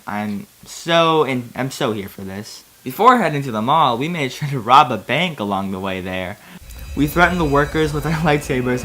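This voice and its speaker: A man's voice: on the high side, a bit bright, slight background noise. A man hosting a comedic fan fiction reading, mixing theatrical, mock-serious narration with casual commentary.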